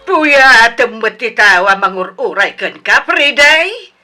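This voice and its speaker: A woman's voice: fairly high pitch, animated, unhurried, music in the background. A woman with an Ilocano accent playing a suspicious, nagging, long-suffering wife in a radio comedy drama, accusing and plaintive.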